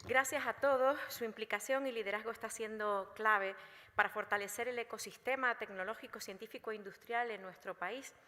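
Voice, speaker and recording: A woman introduces speakers at a conference in a formal tone, stumbling slightly each time she switches languages. Her voice is bright, and the large hall adds a long reverb. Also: on the high side, fast. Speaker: event presenter. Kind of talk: bilingual event hosting.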